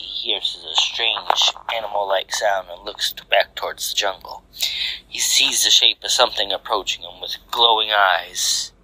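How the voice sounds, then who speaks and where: Low, forceful male story narrator. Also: rough recording. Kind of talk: scripted narration of fan fiction.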